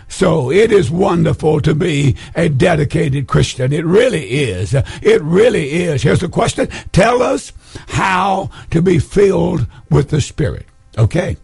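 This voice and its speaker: A hoarse man preaching an emphatic Pentecostal sermon, repeating key phrases for stress. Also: fairly high pitch, darkish tone, no room sound.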